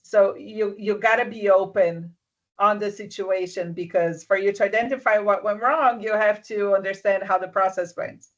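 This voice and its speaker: A mentor talks through workplace advice on a video call, gently and with frequent restarts. The voice sits in a middle pitch, and the call audio comes through a little dull and muffled. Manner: soft-spoken, restarts often, checks understanding. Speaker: business mentor. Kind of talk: advice in a live online session.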